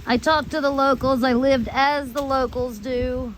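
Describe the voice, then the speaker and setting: Slow, high-voiced American woman telling stories. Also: fairly even intonation, a little hiss or hum, poor audio. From United States. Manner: drawn-out, halting phrasing with long pauses. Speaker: storyteller host. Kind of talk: rambling personal storytelling.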